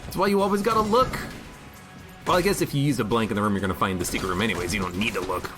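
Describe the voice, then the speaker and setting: A man commentating his own game stream, chatting casually and reading viewer comments aloud, his voice a little hoarse today. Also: fairly low pitch, very fast, music in the background. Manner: chatty, reads chat aloud, musing. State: slightly hoarse.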